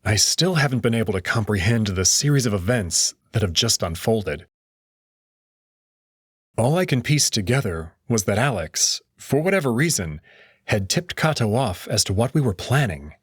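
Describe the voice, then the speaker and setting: Expressive deep-voiced male narrator. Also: moderate pace, husky, no echo, studio quality. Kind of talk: first-person visual novel narration.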